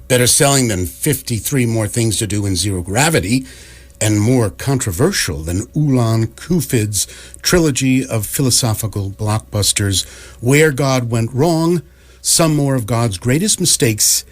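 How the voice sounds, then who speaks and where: A man narrating a comic radio drama from a script in a measured, wry storytelling manner. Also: medium pitch.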